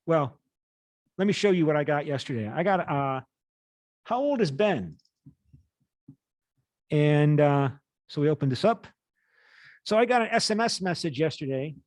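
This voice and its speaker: A man in his late fifties lecturing as a university teacher, reading aloud and explaining in a halting way with rephrasings. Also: on the high side, no room sound, studio quality.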